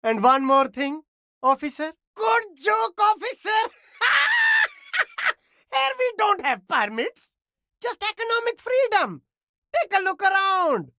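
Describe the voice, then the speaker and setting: An actor voicing a cheerful, slick and eager immigrant street vendor in a video game, with flattering, laid-back sales patter. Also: high-pitched, no room sound, clean studio sound, full character voice. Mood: easygoing sales patter, then playful, excited. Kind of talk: video game character dialogue.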